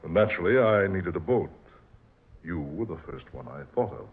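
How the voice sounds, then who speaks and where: A shrewd treasure-expedition backer speaks in a measured, persuasive way. His very deep, husky voice rises and falls a lot. The old radio recording is thin and phone-like. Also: lively intonation, exaggerated voice. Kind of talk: 1940s radio acting.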